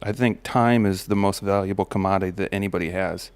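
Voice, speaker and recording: Man, low steady voice, smooth with slight gravel, reflective host talking, dry close sound. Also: quick pace, no room sound. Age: adult parent of a daughter. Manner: relaxed, musing, many fillers and restarts. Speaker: podcast host. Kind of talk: reflective personal monologue.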